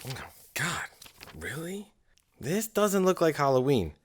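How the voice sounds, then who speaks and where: A man's voice: fairly high pitch, huge pitch swings, takes it slowly, full character voice. A tired, sardonic male writer in an audio drama. He grumbles with dry skepticism, his voice rising and falling widely. A faint hiss sits behind him. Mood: weary. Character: weary, sardonic male scriptwriter, married with kids.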